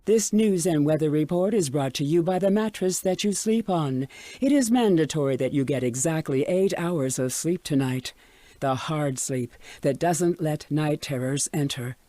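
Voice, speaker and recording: An actor playing a controlling radio station pitchman, reading retro ads in a reassuring but commanding manner. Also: normal speed, mellow, no room sound, close to an everyday voice. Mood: soothing, insistent. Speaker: radio announcer.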